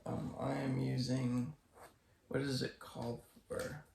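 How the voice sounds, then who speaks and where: A man's voice: medium pitch, little pitch movement, slow pace, gravelly, darkish tone, roomy sound, slight background noise. A young man co-hosting a casual podcast, talking hesitantly with many restarts and fillers. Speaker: podcast co-host. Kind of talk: casual chat.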